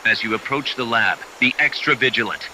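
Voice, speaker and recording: A commanding soldier ally in a video game. His mid-pitched voice is very bright and forceful, brisk and serious, with faint ambience behind it. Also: a little hiss or hum, poor audio. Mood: urgent warning. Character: brisk, commanding male military comrade, alert and serious. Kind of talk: dramatic video game acting.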